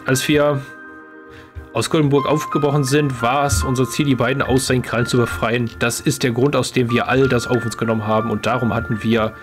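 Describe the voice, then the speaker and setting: A man voicing an earnest, halting male adventurer in a fantasy game, pleading for his friends. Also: mid-range voice, flat delivery, music in the background, lightly acted.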